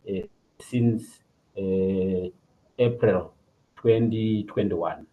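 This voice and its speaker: A man's voice: sits low, extremely slow, phone-quality sound. A middle-aged man with a Zimbabwean accent, formally reading a presentation as a police officer over an online call. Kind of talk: formal presentation read from a paper. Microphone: video call.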